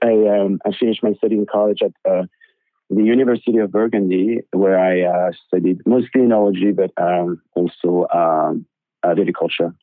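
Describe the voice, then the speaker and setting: Guest: French-accented winemaker, hesitant, low voice, phone-like sound. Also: male voice, dry recording. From France. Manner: halting, many fillers and restarts. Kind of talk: podcast interview. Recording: tinny phone-like line.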